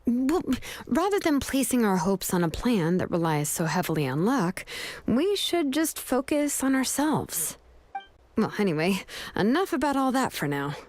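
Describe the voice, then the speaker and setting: A woman voicing a laid-back, caring adult woman smith who speaks in a gentle, reassuring way. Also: a little high, big pitch swings, a little slow. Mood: easygoing. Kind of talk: video game voice acting.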